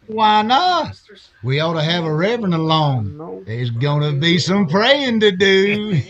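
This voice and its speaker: A man as a lively, jeering cowboy. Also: sits high, measured pace, muffled recording, heavily acted. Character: crude, jeering cowboy eager for a hanging. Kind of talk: old-time radio western acting.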